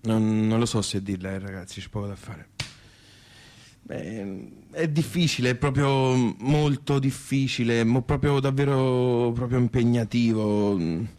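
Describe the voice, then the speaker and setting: Comic radio drama: male actor as a chatty, pushy provincial Tuscan man of medium pitch, colloquial and nosy. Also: a little slow, a little mellow, close to an everyday voice. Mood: chatty, groping. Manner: colloquial, insistent.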